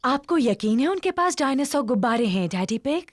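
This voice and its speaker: Animated cartoon mummy pig. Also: female voice, high-pitched, fairly quick, dry recording, clean studio sound. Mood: concerned. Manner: motherly, expressive. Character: kindly, gently doubtful mother pig. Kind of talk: children's cartoon dub.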